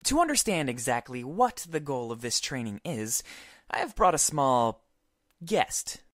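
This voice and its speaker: A woman's voice: extremely low pitch, big pitch swings, measured pace, no room sound, studio quality, full character voice. A woman voicing a pompous, demanding male leader of an exterminator squad, with formal, theatrical speech in a visual novel. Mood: stern, grand.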